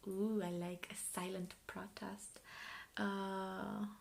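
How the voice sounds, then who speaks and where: A woman from Romania with a Romanian accent, speaking softly in an ASMR style and often slipping into a whisper, musing and repeating short phrases. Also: mid-range voice, flat delivery, slow pace, creaky. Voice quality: soft, often breaking into whispers. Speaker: ASMR video creator reviewing a magazine. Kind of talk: soft-spoken ASMR.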